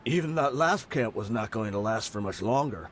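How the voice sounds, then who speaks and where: An actor voicing a laid-back male mercenary, calm and accepting in his delivery. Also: fairly low pitch, moderate pace, slight background noise, natural voice. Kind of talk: game voice acting.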